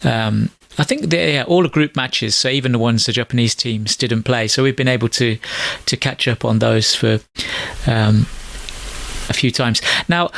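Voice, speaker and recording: A man's voice: mid-range voice, vocal fry, a bit bright, dry recording. A middle-aged man hosting a podcast over a call, chatting casually in a rambling, hesitant way with many fillers. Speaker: podcast host. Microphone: call audio.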